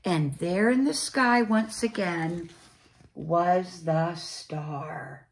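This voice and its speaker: Slow-paced woman reading a children's story. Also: fairly low pitch. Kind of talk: read-aloud children's storybook narration.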